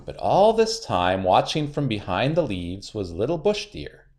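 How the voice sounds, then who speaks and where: A man's voice: on the low side, very animated, unhurried, darkish tone. A man narrating a children's storybook aloud, expressively and with dramatic emphasis.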